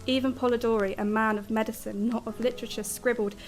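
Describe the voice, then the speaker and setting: A woman's voice: a little high, steady tone, quick pace, lightly acted. An actress playing an anguished, brooding young woman writer in a radio drama, speaking in solemn, formal literary monologue. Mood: melancholy, resentful. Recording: faint music under the voice.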